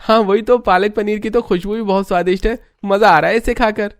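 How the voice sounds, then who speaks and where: A performer playing the outspoken grown child of a bickering family in a cartoon, expressive and emphatic. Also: mid-range voice, a little fast, no room sound, studio quality, natural voice. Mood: delighted. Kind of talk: Hindi family cartoon voice acting.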